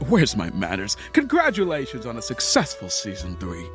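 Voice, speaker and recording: A man's voice: mid-range voice, huge pitch swings, normal speed. A man acting a smug, boastful and crude rival talent scout in an audio drama, pompous and needling. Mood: smarmy. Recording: music playing behind the voice.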